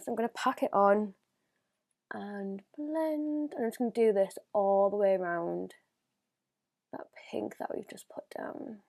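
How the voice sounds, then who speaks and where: A woman's voice: medium pitch, vocal fry, dark tone. A young woman with a blocked-up nose from a cold, chatting casually through a makeup review and laughing often. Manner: chatty, giggly, drops to a near whisper while applying makeup. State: congested with a cold. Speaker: beauty vlogger.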